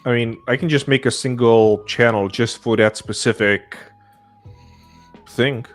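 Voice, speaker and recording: A man hosting a casual group chat, giving brief, low-key replies. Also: fairly even intonation, unhurried, soft background music. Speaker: podcast host.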